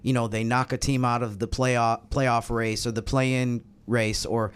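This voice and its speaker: Mid-pitched American man discussing sports. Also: little pitch movement, measured pace, smooth. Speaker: sports podcast panelist and co-host.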